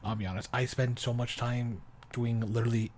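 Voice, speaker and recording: A man playing an affectionate young boyfriend in an improvised bedtime roleplay, talking softly and haltingly, often sinking toward a whisper. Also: mid-range voice, fairly even intonation, slight background noise, natural voice. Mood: earnest, proud. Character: affectionate, doting young boyfriend, soft and rambling. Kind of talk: improvised intimate roleplay audio, sleep aid.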